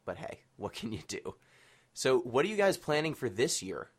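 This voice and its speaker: A man's voice: mid-range voice, sing-song, normal speed. A man hosting a podcast, chatting casually with his guests in an interview. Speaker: podcast host.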